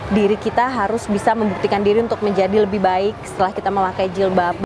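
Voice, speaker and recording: A twenty-nine-year-old woman speaking in a measured, earnest way in an interview. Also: medium pitch, little pitch movement, noisy background. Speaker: interviewee, TV presenter and PR officer. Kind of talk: personal testimony interview.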